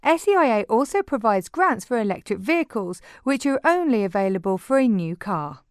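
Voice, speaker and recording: A woman presenter reading scripted links in a measured way. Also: on the high side, big pitch swings, smooth, no room sound, studio quality. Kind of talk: scripted narration.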